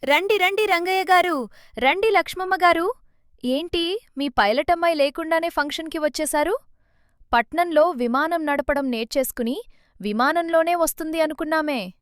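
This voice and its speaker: A woman voicing a spiteful middle-aged village woman who mocks her neighbours loudly. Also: very high-pitched, moderate pace, dry recording, studio quality, pitched above the performer's own voice. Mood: sneering. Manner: loud, sneering, taunting.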